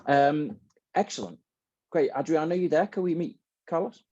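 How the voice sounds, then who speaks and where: A man's voice: fairly high pitch, expressive, moderate pace, darkish tone. A man hosting a student symposium on a video call, informal and a little hesitant, often rephrasing as they go. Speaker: host and moderator of a student symposium. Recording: dull, dry video-call audio.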